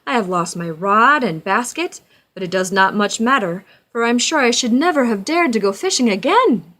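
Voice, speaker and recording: Woman doing a prim little storybook gent, fussy and proper, raised higher than her own voice. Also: animated, moderate pace, a bit bright. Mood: relieved. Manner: prim, old-fashioned polite speech.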